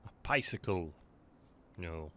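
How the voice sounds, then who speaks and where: A man's voice: sits low, lively intonation, slow, heavy background noise. A man streaming a video game, giving short, casual commentary over the gameplay. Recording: loud game music behind. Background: video game music.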